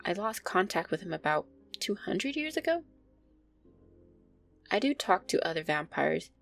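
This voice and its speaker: A woman acts out an affectionate vampire girlfriend in an intimate audio roleplay. Her voice sits fairly low with plenty of fry, and she speaks in a hesitant, conversational way, easily flustered. Music plays softly behind her. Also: big pitch swings, a little slow, heavily acted. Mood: wistful, reluctant. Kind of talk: intimate audio roleplay, first-person storytelling to a listener.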